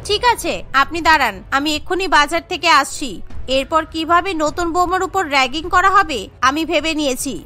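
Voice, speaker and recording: A woman's voice: sits high, brisk, sharp tone, soft background music. A woman voicing a haughty, scheming elder sister-in-law in a cartoon, bossy and taunting. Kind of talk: cartoon voice acting.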